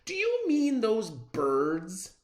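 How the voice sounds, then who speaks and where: A reader voicing a brash, cheerful penguin who blares his words in a loud, excitable way. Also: mid-range voice, extremely slow, roomy sound, voice pushed up, heavily acted. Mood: excited, questioning.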